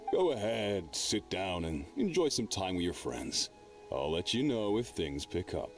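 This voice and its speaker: A kindly middle-aged chef from a video game, easygoing and friendly. His voice is fairly low with lively intonation, a little distant over faint music. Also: a little slow, heavily acted. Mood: welcoming, easygoing. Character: genial middle-aged male restaurant chef, kindly boss. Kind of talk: video game character dialogue.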